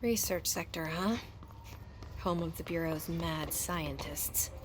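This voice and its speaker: Guarded game heroine, dry understated investigator woman, measured delivery, slight noise behind. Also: sits low. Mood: wry. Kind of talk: video game cutscene dialogue.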